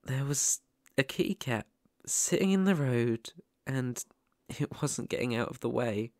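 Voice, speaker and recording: A man plays a shy, lonely young British ghost in an ASMR roleplay. The delivery is gentle, apologetic and full of stammers, taken at a slow pace with pitch that moves freely. The sound is dry, with no room around it. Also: animated, dry recording. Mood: subdued, hesitant.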